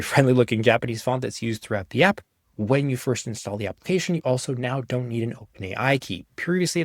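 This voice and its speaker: A young man giving a casual, conversational walkthrough of software he made, in an informal way. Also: medium pitch, dry recording, studio quality. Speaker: app developer presenting his own software.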